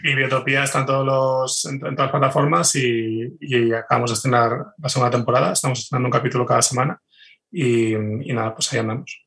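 Gravelly, quick-talking man. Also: mid-range voice, flat delivery, a bit bright. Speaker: guest, fiction podcast creator. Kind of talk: casual interview conversation.

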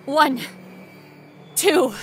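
A woman playing a frightened, stubborn young female ghost researcher in a first-person horror audio drama, with an intense, breathy delivery. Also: high voice, very slow, a little hiss or hum. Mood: resolute, desperate. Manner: intense, breathy, dropping to whispers and rising to cries.

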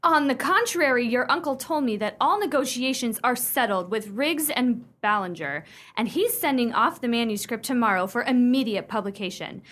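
A woman's voice: a little high, animated, moderate pace, fairly bright tone. A woman playing a prim, imperious young upper-class fiancée in a radio play, speaking sternly with precise, formal diction. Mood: scandalized.

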